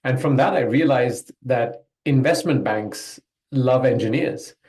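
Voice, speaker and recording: Podcast guest: man from Belgium, mid-pitched voice, reflective storytelling, roomy echo. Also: measured pace, a little mellow. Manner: reflective, loose storytelling with fillers. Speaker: guest, business coach. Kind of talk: podcast interview.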